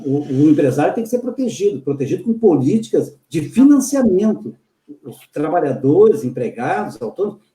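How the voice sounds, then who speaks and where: A man hosting a live video discussion, delivering a critical argument point by point. His voice is somewhat high for a man yet dark and mellow. The room around him is noticeably echoey. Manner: critical, emphatic, listing points. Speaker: host.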